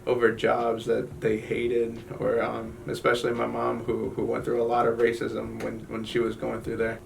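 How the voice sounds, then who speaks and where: A young man from Guatemala telling his family's story in an interview, halting, with many fillers and restarts. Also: medium pitch, gravelly, recorded from a distance, slight background noise. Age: young adult.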